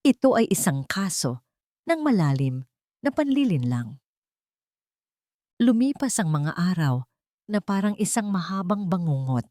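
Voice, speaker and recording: Woman narrator, low voice, dry close sound, scripted love-story drama, measured and dramatic. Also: very animated, dark tone, no echo.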